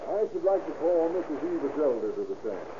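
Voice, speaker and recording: Formal court official, muffled old radio. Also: male voice, on the high side, very animated, a little fast, noisy background. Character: formal, composed male courtroom official questioning witnesses. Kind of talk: 1930s radio drama acting.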